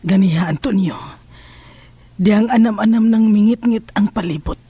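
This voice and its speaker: A woman playing a watchful, fearless middle-aged mother in a horror audio drama, speaking in a grave, measured way that sometimes drops to a hush. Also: mid-range voice, slightly rough, lightly acted. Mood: grave, wary. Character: watchful, fearless mother figure facing hidden enemies.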